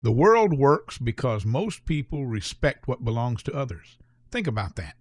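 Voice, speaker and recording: A man voicing a stern, authoritative older gentleman who lectures some boys, then softens. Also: medium pitch, sing-song, moderate pace, no echo, studio quality. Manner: firm, authoritative.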